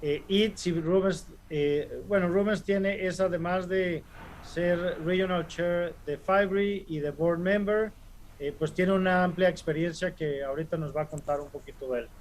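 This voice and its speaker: A man hosts a webinar over a video call. His voice sits a little high for a man, and he talks in a relaxed way, with frequent "eh" fillers. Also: a little slow, a little hiss or hum, low-quality recording. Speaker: webinar host. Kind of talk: casual webinar hosting.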